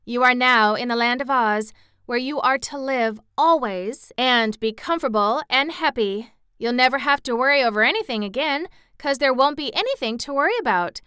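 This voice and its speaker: A woman's voice: high-pitched, lively intonation, moderate pace, sharp tone, clear, resonant tone, dry recording, studio quality, pitched above the performer's own voice. A woman doing an eager young girl.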